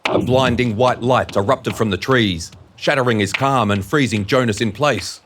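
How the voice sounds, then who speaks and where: A man's voice: mid-range voice, normal speed, fairly bright tone, a little hiss or hum. A man reading scripted narration for an audio drama.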